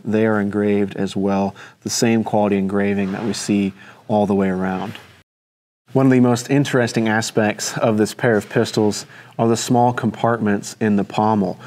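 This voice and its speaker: A young man presenting and describing a pair of antique pistols in a measured, detailed way, seated at a table in an indoor studio set. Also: lowish voice, darkish tone. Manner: measured, detailed describing while handling objects. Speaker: firearms presenter. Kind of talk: informal product walkthrough.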